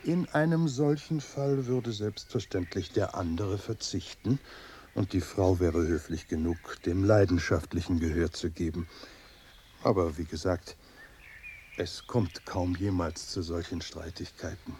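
Deep, mellow, serene sage. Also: male voice, slight background noise. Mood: serene, courteous. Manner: measured, formal, didactic. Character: serene, courteous old male lamasery host. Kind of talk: German radio drama acting.

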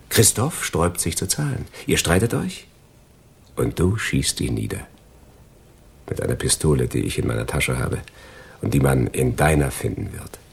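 Very deep, husky, scheming crook. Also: male voice, exaggerated voice. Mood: coldly gloating. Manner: taunting, deliberate, menacing. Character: cold, gloating male criminal double-crossing his accomplices. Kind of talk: German radio crime drama acting.

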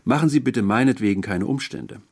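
A man's voice: mid-range voice, a little fast, darkish tone, lightly acted. A man voicing a polite, earnest seventeen-year-old boy in an audiobook story, puzzled and eager as he searches for words.

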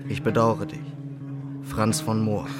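An actor playing a rough student comrade in a radio play, with a deliberate, dramatic delivery. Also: male voice, lowish voice, completely flat delivery. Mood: grave, ironic. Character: rough student comrade reading a grim letter aloud. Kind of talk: German radio play acting. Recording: plucked guitar music behind the voice. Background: guitar music.

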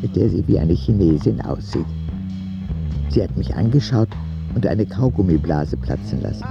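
A man narrating a radio drama in the first person as a cheeky boy, soft and husky. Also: sits low, expressive, normal speed, dull audio, over music. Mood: wry. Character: cheeky ten-year-old boy narrating his own story.

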